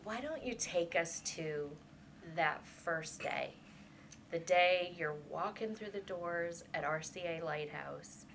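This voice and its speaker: A woman with a gravelly, fairly low voice hosts an interview, asking open questions in an encouraging, gentle way. Faint noise hums behind her. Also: slow. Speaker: interviewer, alumni coordinator.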